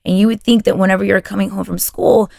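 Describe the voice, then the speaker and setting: A woman's voice: medium pitch, flat delivery, fast, creaky. A woman from Texas whose first language is Spanish, telling personal stories as a podcast guest in a casual chat with frequent restarts. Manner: warm storytelling with frequent restarts.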